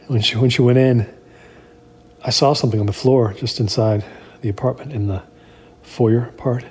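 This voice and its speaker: A man performing a first-person horror story like a spoken diary, in a halting, uneasy, confiding way. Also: mid-range voice, fairly even intonation, darkish tone. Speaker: narrator of a horror audio drama. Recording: clear background noise.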